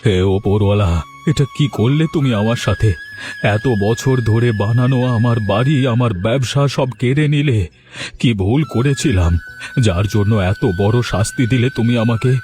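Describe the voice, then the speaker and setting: A man voicing a betrayed, weary old village gentleman in a cartoon, speaking in a plaintive, polite way. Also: mid-range voice, moderate pace, lightly acted. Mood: grieving, weary. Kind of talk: dramatized Bengali moral-story cartoon dub.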